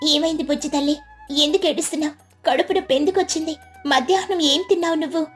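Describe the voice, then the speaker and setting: A woman's voice: high voice, fast talker, pitched above the performer's own voice, natural voice. A woman voicing a protective, emotional mother sparrow in a children's cartoon with urgent delivery. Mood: worried. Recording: background music bed.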